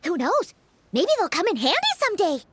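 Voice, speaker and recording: Squeaky, very high-pitched childlike fairy. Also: female voice, very animated, brisk, background noise. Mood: excited. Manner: refers to self in third person, exclaiming. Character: tiny childlike floating fairy companion, excitable and proud. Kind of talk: anime-style game voice acting.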